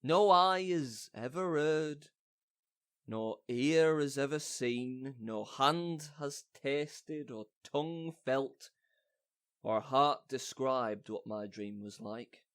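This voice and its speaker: A man playing a foolish, bombastic amateur actor in a comic audio drama, theatrical, bumbling and halting. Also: mid-range voice, clean tone, lightly acted. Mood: dazed, wondering.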